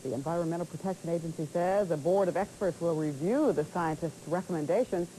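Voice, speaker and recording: A woman's voice: lowish voice, a little fast, dark tone, rough recording. A woman anchor reading scripted television news, heard from a hissy old broadcast tape.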